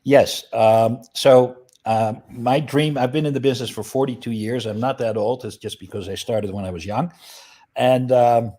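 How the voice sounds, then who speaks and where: A man's voice: mid-range voice, normal speed. A man in his sixties from the Netherlands, a guest chatting easily on a video call with a tongue. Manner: relaxed, chatty, uses fillers. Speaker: guest, flower company CEO. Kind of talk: casual interview talk.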